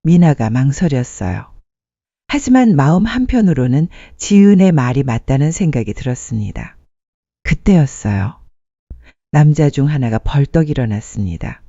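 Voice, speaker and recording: Mellow storyteller with animated pitch. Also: moderate pace, no echo. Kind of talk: scripted dramatic story narration. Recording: muffled dry studio sound.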